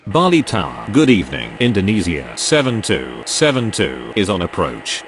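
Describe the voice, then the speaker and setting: A man's voice: sits low, wildly swooping pitch, moderate pace. A man playing a pilot and air traffic controller, giving formal, clipped radio callouts in standard aviation phraseology. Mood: businesslike.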